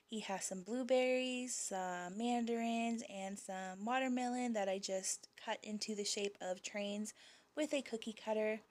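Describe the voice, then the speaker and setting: A woman's voice: medium pitch, a little mellow. A young woman talking casually about her kids' lunches, chatty with small laughs, her voice slightly worn. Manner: chatty, laughs lightly, fillers like um and uh. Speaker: parent showing kids' lunches. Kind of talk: casual voice-over vlog.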